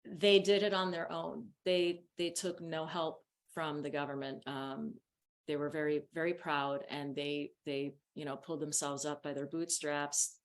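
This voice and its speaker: A woman's voice: low voice, flat delivery, measured pace. A woman whose first language is Spanish, chatting as an interview guest and laughing often. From United States. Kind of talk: casual interview talk.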